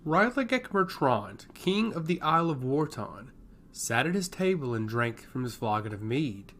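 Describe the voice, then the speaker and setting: A man narrating a scripted fantasy story in a measured, dramatic storytelling manner. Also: mid-range voice, fairly bright tone, slight background noise.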